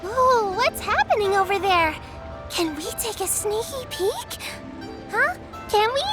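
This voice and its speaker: A woman's voice: very high voice, big pitch swings, a little slow, over music, exaggerated voice. A woman voicing a small, cheeky, childlike female fairy companion in a video game, chirpy and exclamatory. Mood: curious. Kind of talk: animated game voice acting.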